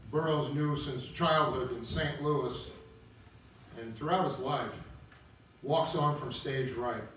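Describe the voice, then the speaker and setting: A man reading stage directions as narrator at an opera table read, with a theatrical, deliberate storytelling delivery. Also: medium pitch, recorded from a distance, a little hiss or hum. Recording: roomy echoing recording from afar, guitar music.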